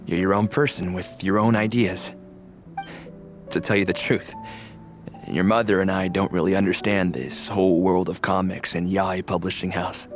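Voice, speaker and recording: A man voicing a loving but firm middle-aged father in an anime game, with measured delivery. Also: deep voice. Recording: music behind.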